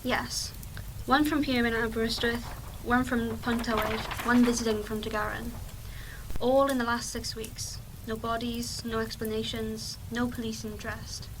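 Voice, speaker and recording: A woman's voice: on the high side, flat delivery, moderate pace, close to an everyday voice. A woman playing a determined, matter-of-fact local researcher in a fan audio drama. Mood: grave, insistent. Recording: distant mic, clear background noise.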